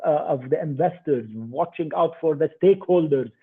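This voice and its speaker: An older man speaks as the guest in an interview, emphatic, restarting and repeating words. His voice is a bit husky and slightly high for a man. The audio sounds thin and phone-like, as if sent over a remote call. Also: normal speed. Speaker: investor guest.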